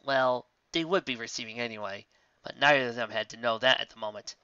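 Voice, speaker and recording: A man's voice: mid-range voice, a little fast, pushed and bright, clean tone, no room sound, lo-fi sound. A man reading a fantasy story aloud as a dramatised narration. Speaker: fan fiction reader.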